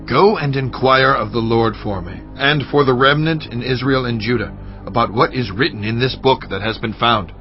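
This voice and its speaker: A man's voice: medium pitch, normal speed, lightly acted. Earnest, commanding king played by a man, slightly muffled. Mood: grave, urgent. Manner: grave, declamatory delivery. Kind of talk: dramatized scripture audio acting. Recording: slightly dull audio over faint music.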